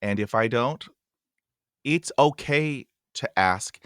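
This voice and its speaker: A man's voice: mid-range voice, animated, slow pace, fairly bright tone, clear, resonant tone, no room sound, studio quality. A man in his forties hosting a podcast interview, talking earnestly with a stutter and repeating himself for emphasis. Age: 40s. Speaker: podcast host.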